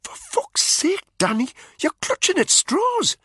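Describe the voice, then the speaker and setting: A man's voice: very high voice, very animated, takes it slowly, pushed and bright, no room sound, clean studio sound, voice pushed up, exaggerated voice. A man voicing a worried male soldier pleading with his friend, in an audiobook reading. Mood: urgent. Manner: pleading, urgent, concerned.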